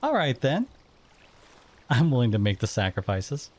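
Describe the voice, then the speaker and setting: An actor playing a blunt, wary sea-dwelling monster in an audio drama, with casual, outspoken phrasing. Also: male voice, medium pitch, wildly swooping pitch, normal speed, darkish tone. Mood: reluctant, startled.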